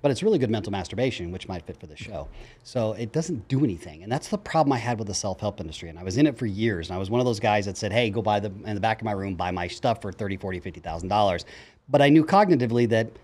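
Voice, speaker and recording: A man of about fifty talks quickly in a low voice as a podcast guest. He tells stories in a rush, blunt and joking, and acts out what people said to him. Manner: fast, energetic talker, blunt and jokey, voices his own past quotes. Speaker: podcast guest, coach in self-transformation. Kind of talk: casual interview storytelling.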